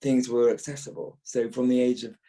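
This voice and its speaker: A British man in his early fifties talks about his past as an interview guest. His voice is that of a trained actor, sitting at an average male pitch. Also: fairly even intonation, a little fast, creaky, dark tone. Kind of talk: reminiscing in a podcast interview.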